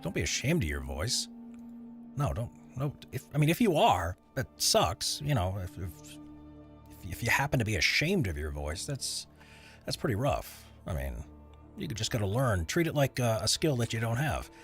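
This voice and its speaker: A man's voice: medium pitch, big pitch swings, moderate pace, a bit bright, faint music behind. A man streaming while he edits voiceover audio, chatting loosely with his viewers and rambling between tasks. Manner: rambling, chatty, answers chat while working. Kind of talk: casual live-stream chat.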